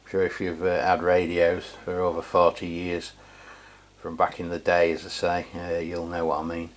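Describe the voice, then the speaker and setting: A man's voice: deep voice, completely flat delivery, normal speed. A middle-aged man giving a casual, matter-of-fact hands-on product review. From England. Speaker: radio review channel host.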